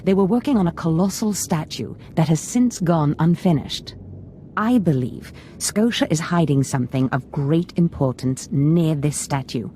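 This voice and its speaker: A woman's voice: deep voice, moderate pace, warm tone, a little hiss or hum, lightly acted. A woman playing a haughty, scheming Sith master in a video game, theatrical and calculating. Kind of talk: video game dramatic acting.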